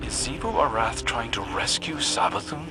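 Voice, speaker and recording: A man's voice: medium pitch, expressive, normal speed, over music, close to an everyday voice. An actor playing a compassionate, conscientious young male scout in a game, speaking earnestly and conversationally. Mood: concerned. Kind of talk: game mission dialogue.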